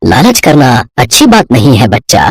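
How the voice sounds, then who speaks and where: A man playing a kindly old wandering holy man in an animated moral story, solemn and measured. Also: medium pitch, breathy edge, no echo, full character voice. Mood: gently warning.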